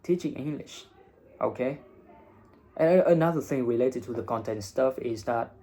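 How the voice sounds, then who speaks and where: Young male vlogger, blunt, casual profanity, mid pitch. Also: normal speed, warm tone, rough recording. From Vietnam. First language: Vietnamese. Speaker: IELTS teacher and vlogger. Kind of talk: casual advice monologue.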